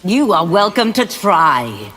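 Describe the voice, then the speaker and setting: Stern, lowish-voiced warrior woman. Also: sing-song, slow pace, heavy background noise. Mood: serene defiance. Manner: firm, formal, dramatic. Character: resolute righteous woman warrior, calm and stern. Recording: long reverb, loud music behind.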